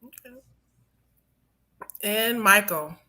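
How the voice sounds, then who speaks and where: A woman's voice: mid-range voice, very slow, pushed and bright. A woman hosting an online panel show, talking in an upbeat, chatty way straight to the women watching. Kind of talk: lively show hosting, addressing viewers.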